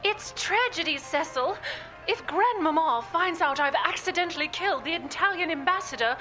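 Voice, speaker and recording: Deluded young would-be English aristocrat for radio comedy: bright, animated, mannered, grandly earnest. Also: female voice, very high-pitched, moderate pace, soft background music, exaggerated voice. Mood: melodramatic, earnest. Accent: put-on upper-class English. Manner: melodramatic, haughty, mannered. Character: deluded young Minnesota woman playing a grand Edwardian English lady. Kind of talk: comic radio play parody trailer.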